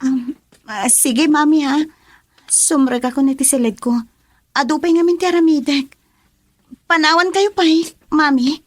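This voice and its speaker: Young female student, radio drama; earnest, evasive, higher voice, dry studio sound. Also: steady tone, unhurried, long pauses, no room sound. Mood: nervous, evasive. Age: young adult. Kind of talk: Ilocano radio drama acting.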